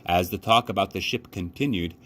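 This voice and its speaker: A man reading a children's book aloud in an expressive storytelling style. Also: sits low, a little fast. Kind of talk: expressive read-aloud narration. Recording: faint background noise.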